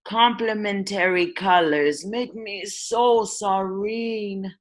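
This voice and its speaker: A woman's voice: mid-range voice, takes it slowly, close to an everyday voice. A woman playing a mischievous rhyming goblin gardener in a comic radio play, with a sing-song manner. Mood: theatrical, coveting. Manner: sing-song baby-talk rhymes.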